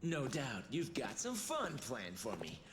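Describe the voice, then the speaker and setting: Cocky young demon hunter, taunting, pitch all over the place, noisy game audio. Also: male voice, wildly swooping pitch, unhurried. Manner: taunting, casual swagger. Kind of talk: video game cutscene acting. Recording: distant game audio under loud noise.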